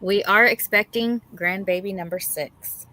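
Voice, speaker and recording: A bright, forward voice from a middle-aged woman. She sits at an average female pitch and chats in a folksy way, as a livestream host talking with viewers would. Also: unhurried, poor audio. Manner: chatty, folksy, reading out and greeting chat. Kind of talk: casual livestream chat.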